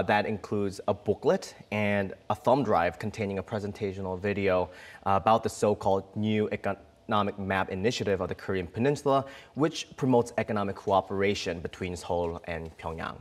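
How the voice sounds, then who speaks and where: Male news reporter, lower voice, measured explainer in live discussion.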